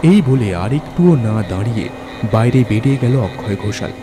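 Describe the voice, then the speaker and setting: A man's voice: mid-range voice, sing-song, moderate pace, a crowd in the background. A man narrating a scripted ghost story as a dramatic storyteller. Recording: music bed under narration.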